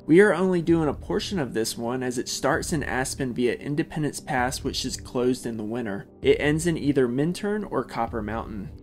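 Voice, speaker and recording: A man's voice: mid-range voice, a little mellow. Man narrating casually in a vlog. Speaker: vlog host.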